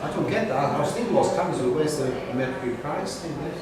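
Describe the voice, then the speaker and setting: A man with a slightly high voice calls out and banters as a quiz contestant. He is off the mic in a very echoey pub, with a loud crowd around him. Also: very fast. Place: indoor pub quiz venue.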